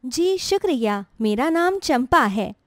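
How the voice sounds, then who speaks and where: A woman voicing a patient, forgiving young elder sister who speaks politely and calmly in a story. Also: high-pitched, slow pace, no room sound, studio quality, exaggerated voice.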